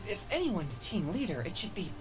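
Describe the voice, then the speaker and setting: A woman's voice: medium pitch, big pitch swings, normal speed, music in the background, close to an everyday voice. A woman voicing an earnest teenage boy exorcist in a game, polite, hesitant and easily flustered. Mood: hesitant, puzzled.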